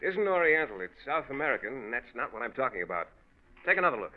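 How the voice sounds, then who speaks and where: An actor playing a bitter, jealous ex-husband in a 1940s radio drama, with a biting, sarcastic manner. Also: male voice, medium pitch, big pitch swings, quick pace. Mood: sardonic, cool. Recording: narrow-band vintage radio recording.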